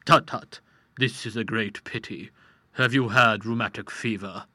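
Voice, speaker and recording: A man's voice: lowish voice, steady tone, measured pace, pushed and bright, heavily acted. Man doing a fussy, greasy quack doctor. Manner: fussy, tut-tutting and ominous.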